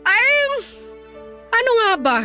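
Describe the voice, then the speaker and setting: A woman's voice: extremely high pitch, big pitch swings, slow pace, pushed and bright, soft background music, exaggerated voice. A woman playing a stern, short-tempered Filipino grandmother in a radio drama, scolding and sarcastic as she argues with her grown daughter.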